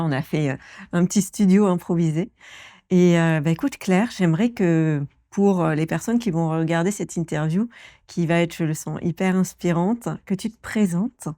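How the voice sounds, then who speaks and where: A woman interviewer with a fairly low, mellow voice asks questions in an enthusiastic, casual way. She often hesitates mid-phrase. The sound is dry and close, with little room echo. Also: normal speed. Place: improvised studio in a concert hall dressing room.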